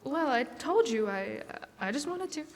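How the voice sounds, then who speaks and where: A woman acting high-voiced nervous radio-play wife. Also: animated, a little slow. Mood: forced calm. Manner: jittery, repeating herself. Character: nervous suburban wife and mother, evasive, on edge. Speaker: actress in a live stage radio play. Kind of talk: classic radio drama acting. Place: on stage in a school hall. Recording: echoey stage hall, music behind.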